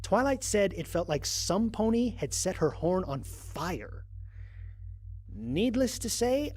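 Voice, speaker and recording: A man performs a human explorer reading his mission log in an audio drama. His voice is fairly high for a male and slightly rough, with very animated intonation. He reports methodically, with dry, wry formality and measured phrasing. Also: dry recording, exaggerated voice. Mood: measured, analytical. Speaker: narrator. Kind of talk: scripted first-person audio drama narration.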